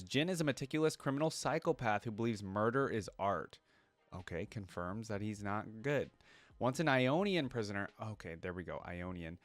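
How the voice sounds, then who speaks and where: A man's voice: fairly low pitch, no echo. A man hosting a reaction video, commenting casually and reading text aloud as he goes. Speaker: host of a reaction channel.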